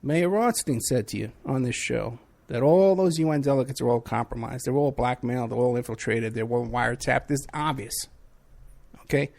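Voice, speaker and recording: Sarcastic rambling New talk host. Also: male voice, medium pitch, normal speed, vocal fry, a little mellow. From New York City. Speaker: radio show host, private investigator. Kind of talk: casual talk radio monologue.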